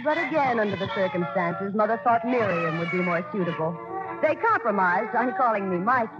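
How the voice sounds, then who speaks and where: Fond, wry daughter telling the story, bright lively voice, loud music under a muffled old broadcast. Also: female voice, fairly high pitch. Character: grown daughter fondly recalling her parents. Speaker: narrator. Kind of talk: 1940s radio narration. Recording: dull 1940s radio broadcast over music.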